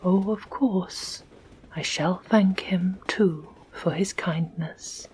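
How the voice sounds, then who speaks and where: A woman's voice: lowish voice, takes it slowly, darkish tone, slight background noise. A woman voicing a loving but stern Victorian mother in a storybook, speaking in a whisper. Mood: tender, firm.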